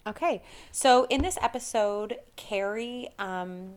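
Animated woman podcaster chatting. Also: mid-range voice, slow pace. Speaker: podcast co-host. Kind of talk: casual chat recapping a TV show.

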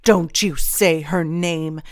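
An angry, unrestrained girl, voiced by a woman narrator in a fantasy audiobook. She is scornful and cold, biting out her retorts in a low, dry voice. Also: slow pace, gravelly, no room sound. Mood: seething.

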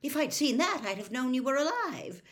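A woman voicing a distraught middle-aged mother, high and loud, sobbing with worry. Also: big pitch swings, moderate pace. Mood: spluttering. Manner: high, loud, breathless pleading.

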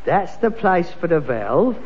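An actor playing a stubborn, defensive adult son in a 1960s radio sitcom. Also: male voice, high voice, big pitch swings, unhurried. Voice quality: defensive, exasperated. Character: touchy adult son, proud of his handiwork and stubborn. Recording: dull old radio recording with long reverb and faint hiss.

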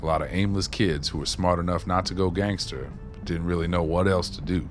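A man's voice: low voice, fairly even intonation, brisk, over music, close to an everyday voice. An actor playing a streetwise, wry former maintenance man, telling stories in a folksy, colloquial way in a radio play. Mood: reminiscing. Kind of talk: radio play dialogue, reminiscing.

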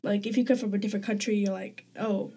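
A woman's voice: mid-range voice, steady tone, quick pace, dark tone. A woman talking as a podcast guest, in a casual, hesitant way with many fillers and restarts. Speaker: guest community member. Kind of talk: casual conversation.

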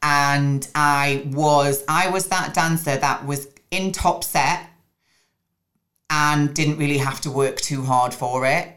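A woman's voice: low-pitched, slow, fairly bright tone, echoey room. A young woman from Newcastle with a mild northern English accent touched by a hint of American, chatting casually as a podcast guest and often digressing. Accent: mild northern English, Newcastle traces mixed with a hint of American. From Newcastle, England. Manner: chatty and digressive, with many restarts. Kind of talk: casual interview chat.

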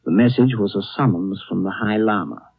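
An actor playing a grave, thoughtful narrator who tells a tale in deliberate phrases for a 1930s radio drama. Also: male voice, fairly low pitch, gravelly, husky, dry recording. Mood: grave, hushed wonder. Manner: deliberate, atmospheric, pausing phrases. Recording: dry, narrow-band old radio broadcast.

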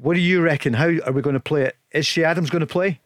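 Male sports radio host, higher voice, quick jokey delivery, dry booth. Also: no room sound.

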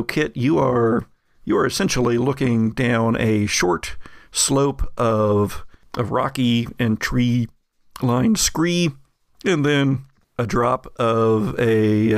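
A man narrating an improvised tabletop horror game as game master, telling it in a halting, suspenseful way. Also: medium pitch, vocal fry, no room sound, studio quality.

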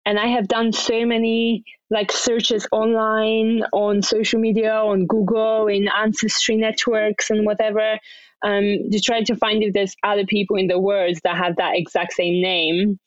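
A woman's voice: medium pitch, little pitch movement, measured pace, no room sound, muffled recording. A young woman from Bulgaria, a podcast guest chatting in English as a second language, upbeat and laughing often between phrases. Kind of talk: casual interview chat.

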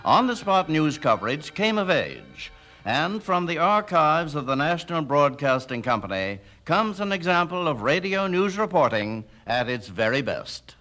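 Bright, animated male documentary narrator. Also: on the high side, moderate pace, soft background music. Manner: dramatic, formal. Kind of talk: scripted radio documentary narration.

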